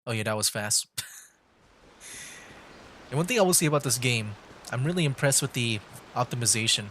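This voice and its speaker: A man's voice: medium pitch, lively intonation, normal speed, fairly bright tone, a little hiss or hum. A man giving casual livestream game commentary, hesitantly thinking aloud as he goes. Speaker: game streamer. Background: game music.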